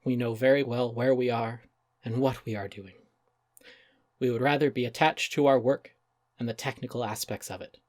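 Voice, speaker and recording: A man voicing a pompous, self-serious male avant-garde chef in a dramatic reading, portentous and full of pauses. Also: medium pitch, steady tone, no room sound, clean studio sound, close to an everyday voice. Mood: grandiose, earnest. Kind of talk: dramatic reading of an open letter.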